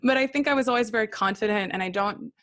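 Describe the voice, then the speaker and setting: A woman's voice: on the high side, quick pace, fairly bright tone, no echo, clean studio sound. A woman from Australia, raised partly in Israel, telling personal stories casually and candidly as an interview guest. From born in Australia, raised in Israel and Brisbane. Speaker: podcast guest, singer-songwriter.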